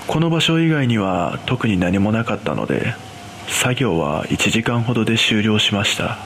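Guy playing an uneasy cleanup worker telling a creepy radio drama tale, kinda low voice, moves around a lot, some hiss behind it. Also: expressive, moderate pace, fairly bright tone. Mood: grave, uneasy. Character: uneasy male trauma-scene cleaner recounting an eerie experience. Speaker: horror story narrator. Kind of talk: scripted first-person ghost story narration.

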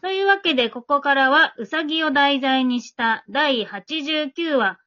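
A woman's voice: high-pitched, unhurried, sharp tone. A woman hosting a casual group talk, chatty and turning to others with questions. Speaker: host and MC of an amateur radio drama after-talk. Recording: dull lo-fi audio.

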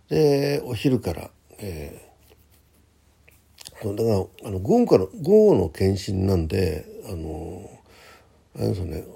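A man's voice: low-pitched, vocal fry, dark tone, slightly rough. A man hosting his own casual talk show, chatting solo in a hesitant, conversational way with little asides agreeing with himself. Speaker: host of a personal audio talk show. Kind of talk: casual solo radio chat, reading listener messages.

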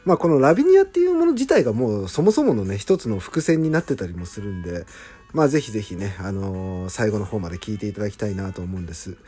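A man's voice: on the low side, sing-song, quick pace, dark tone, breathy edge, faint music behind. A man hosting a casual solo behind-the-scenes talk, speaking politely with enthusiastic emphasis.

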